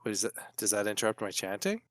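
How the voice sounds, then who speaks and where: A man's voice: on the low side, very animated, fast, slight background noise. A man playing a tabletop roleplaying game, talking casually about what his character does.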